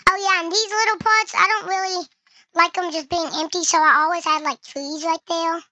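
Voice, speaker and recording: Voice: extremely high pitch, fairly even intonation, moderate pace, bright, forceful tone, dry recording. A young gamer giving casual gameplay commentary, with the voice run through a voice changer. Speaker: gaming video creator.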